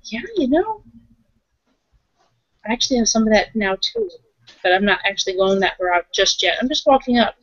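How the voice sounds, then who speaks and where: A woman's voice: mid-range voice, expressive, moderate pace, pauses often, dry recording. Woman tabletop player, casual improvised delivery, mid-range voice, slightly dull audio. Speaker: roleplaying game player. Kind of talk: casual improvised roleplay chat.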